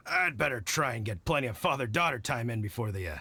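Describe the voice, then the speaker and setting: A man's voice: mid-range voice, animated, a little fast, bright, forceful tone, no echo. A man voicing a kindly middle-aged hunter dad in a video game, folksy, reflective and supportive. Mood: warm, encouraging.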